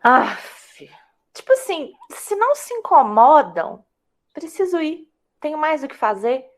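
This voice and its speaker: Lady as insufferable teen goddess, super high and all over the place, slangy eye-roll attitude. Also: very animated, a little slow, heavily acted. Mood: bored contempt. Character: rebellious, bratty teenage underworld goddess, insufferable niece. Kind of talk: mythological comedy audio drama.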